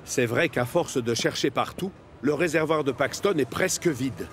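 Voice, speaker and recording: A male narrator tells a children's cartoon story in a scripted storybook style. His voice sits at a medium pitch, with music playing behind. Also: normal speed.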